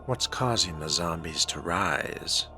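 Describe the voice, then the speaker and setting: A man's voice: low voice, expressive, takes it slowly. A man playing a video game in character, speaking his part with wry, formal and theatrical phrasing. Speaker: role-playing game player. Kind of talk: in-character game role-play commentary. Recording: loud game music behind the voice. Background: loud game music.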